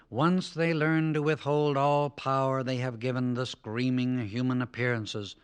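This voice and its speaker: A man reads a spiritual discourse aloud in a solemn, measured way, and his voice sits at an ordinary middle pitch. His delivery is earnest and exhortative, as if he is speaking straight to the students he addresses. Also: clear, resonant tone. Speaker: audiobook narrator.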